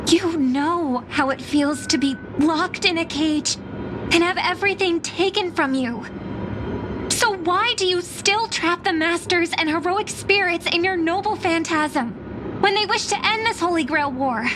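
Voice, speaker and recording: A woman playing an earnest, gently resolute young heroine in a video game, speaking in a measured, polite way. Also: extremely high pitch, noisy background. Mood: concerned, probing. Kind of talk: dramatic video game voice acting.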